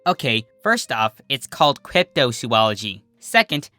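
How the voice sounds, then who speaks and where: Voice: medium pitch, sing-song, measured pace, pushed and bright, no room sound, faint music behind. A storyteller playing a flustered, exasperated young female unicorn with an emphatic manner.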